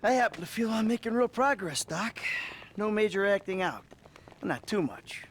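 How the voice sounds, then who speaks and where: An actor playing a troubled, foul-mouthed middle-aged American criminal in a video game cutscene, halting and wry. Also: male voice, high voice, expressive, a little hiss or hum. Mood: evasive, wry.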